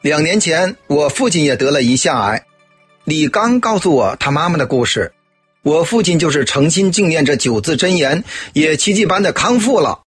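A man acting in a radio play as a sincere bystander with a husky voice. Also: on the high side, sing-song, moderate pace, soft background music. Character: sincere man vouching for a miracle cure. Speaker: radio drama actor.

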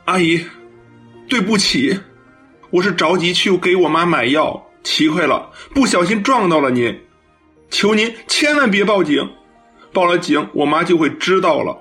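Guy acting a flustered apologetic college boy in a radio play, highish, pleading, swoops around a lot. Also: lively intonation, moderate pace, pushed and bright, soft background music. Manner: stammering, pleading. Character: flustered, earnest, apologetic male university student. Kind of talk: audio drama acting.

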